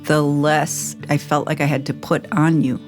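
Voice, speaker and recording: A woman's voice: sits low, level tone, moderate pace, a little mellow, faint music behind. A middle-aged American woman co-hosting a podcast, speaking reflectively and asking personal questions. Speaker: podcast co-host interviewing her grown child. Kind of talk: reflective interview and narration.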